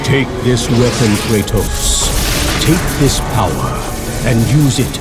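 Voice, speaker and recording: A man's voice: mid-range voice, sing-song, takes it slowly, over loud music. A man playing a grave, commanding Greek god in a video game, speaking in solemn declamation. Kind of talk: epic video game acting.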